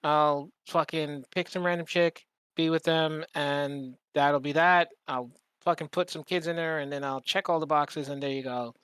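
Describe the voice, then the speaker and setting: A man hosting a podcast in casual conversation, speaking informally and a little haltingly. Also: a little high, steady tone, fairly bright tone, clean tone, no echo. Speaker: podcast host.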